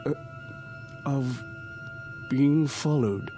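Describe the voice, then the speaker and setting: A man's voice: medium pitch, extremely slow. An actor playing a fussy, anxious, genteel older male major in a vintage television drama, given to polite exclamations. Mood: worried. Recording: old television soundtrack, faint music behind.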